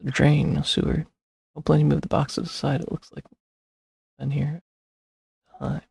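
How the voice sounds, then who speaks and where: A man's voice: fairly high pitch, level tone, unhurried, creaky, darkish tone, husky, dry recording. A man giving casual gameplay commentary, rambling and thinking aloud in a self-deprecating way. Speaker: streamer playing a video game.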